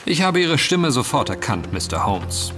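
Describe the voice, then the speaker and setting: An unruffled gentleman adventurer with dry wit acted by a man in an audio drama. His mid-pitched voice has lively melody over clear music. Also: normal speed, natural voice. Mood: cool, curious. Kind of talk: German audio drama acting. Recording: music behind the voice.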